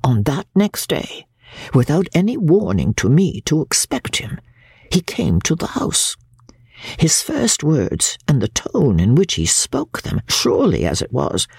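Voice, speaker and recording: Casting: woman reader as proud middle-aged Victorian mother, spiteful and emphatic, gravelly breathy voice, classic-novel audiobook. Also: very deep voice, a little mellow, no echo, clean studio sound, full character voice. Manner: haughty, emphatic, deliberate storytelling. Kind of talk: dramatic audiobook reading of classic literature.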